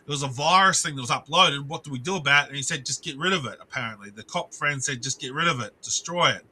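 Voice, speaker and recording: A man on a live stream with a very bright, slightly high voice. He rambles and swears, restarts sentences and breaks off to greet chat viewers. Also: fast, slightly rough, low-quality recording.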